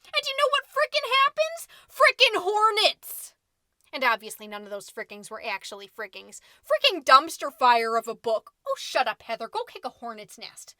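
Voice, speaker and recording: A woman's voice: high voice, wildly swooping pitch, moderate pace, bright, forceful tone, no room sound, studio quality, full character voice. A woman playing a catty, indignant mom who hosts a podcast, delivering a sarcastic, melodramatic comedic monologue. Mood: exasperated, ranting. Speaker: podcast host.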